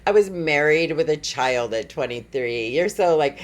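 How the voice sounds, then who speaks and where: A woman's voice: low voice, lively intonation, normal speed. A middle-aged woman interviewing a guest in a chatty, affirming way, with frequent mm-hmms. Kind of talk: casual interview chat.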